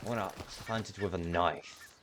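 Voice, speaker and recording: A man's voice: low voice, steady tone, normal speed, background noise. A man doing casual, rambling gameplay commentary with playful, self-mockingly silly asides as he streams a video game.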